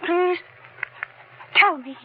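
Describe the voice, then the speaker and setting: A woman's voice: extremely high pitch, very slow, exaggerated voice. An actress playing a frightened, suspicious young woman in a 1940s radio mystery, agitated and pleading. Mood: tense, suspicious. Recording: noisy, muffled 1940s radio recording.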